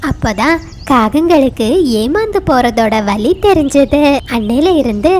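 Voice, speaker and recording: High-pitched woman narrating a children's story. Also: expressive, measured pace, a little mellow, faint music behind.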